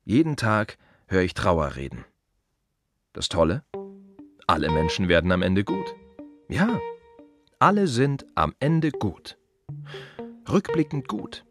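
A man's voice: lowish voice, very animated, slow, pauses often, music in the background, full character voice. A man playing a plain-spoken gravedigger in a radio play monologue, musing wryly in short, repeated phrases. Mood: wry, questioning. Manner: reflective, wry, clipped fragments with repeated phrases. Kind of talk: German radio drama monologue, conversational.

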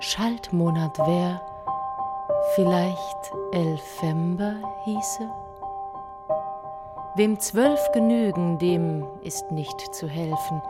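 A woman's voice: on the low side, very slow, pauses often. A woman singing a poem set to music, in a chanson-like song with instrumental accompaniment. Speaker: singer.

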